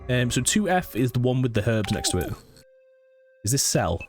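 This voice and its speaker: A man's voice: mid-range voice, big pitch swings. A man with a cold and a sniffly voice, casually commentating a horror game and reading its notes aloud. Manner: chatty, thinks aloud, reads text slowly. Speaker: let's play gamer. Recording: faint game music behind.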